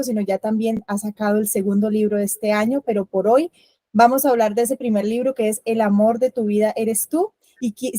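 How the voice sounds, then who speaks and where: A woman hosting an interview, speaking in a measured, explanatory way as she welcomes her guest. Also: a little high, dark tone, no echo. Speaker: host.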